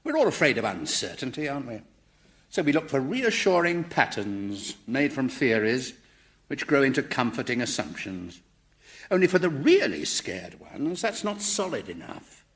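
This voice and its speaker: An actor playing a mild professor who reasons thoughtfully with dry irony, in radio sitcom style. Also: male voice, medium pitch, very animated, normal speed, a bit bright. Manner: thoughtful, lecturing, dryly ironic.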